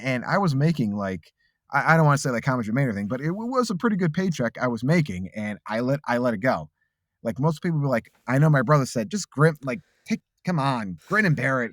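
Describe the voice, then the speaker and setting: A man's voice: medium pitch, no room sound, clean studio sound. A man in his late thirties, a voice actor, telling his story in a casual interview, earnest and reflective with frequent restarts. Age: late 30s. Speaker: voice actor guest.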